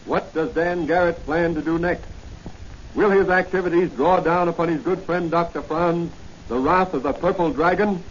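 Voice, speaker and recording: A muffled 1940 radio recording with faint noise. A male announcer with a fairly high voice narrates in a formal, declamatory serial style. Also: moderate pace.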